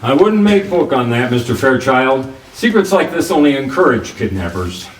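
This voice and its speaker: An actor playing a wry, hardboiled private detective, narrating a noir radio drama in a clipped way. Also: male voice, mid-range voice, very animated, normal speed, natural voice. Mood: cool, suspicious. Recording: roomy, distant mic, faint noise.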